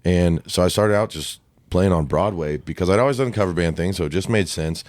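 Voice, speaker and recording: Man, from Ohio, deep voice, very low pitch, relaxed chatty guest, dry close sound. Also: a little fast, no room sound. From Ohio, USA. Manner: relaxed and chatty, peppers with "dude" and "right?". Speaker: guest singer-songwriter. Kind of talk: casual podcast conversation.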